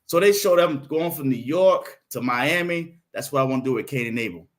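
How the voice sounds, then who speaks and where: A man in his fifties from Florida with a Southern accent, a guest in a casual interview who tells lively stories and acts out quoted voices. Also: medium pitch, brisk. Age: 50s. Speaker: podcast guest, playwright.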